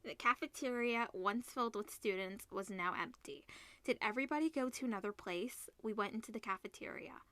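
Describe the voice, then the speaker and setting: A woman reading a visual novel aloud as a let's player, expressive, mixing narration with casual reactions. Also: on the high side, a little fast, dry recording. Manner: expressive, switches between narration and character lines.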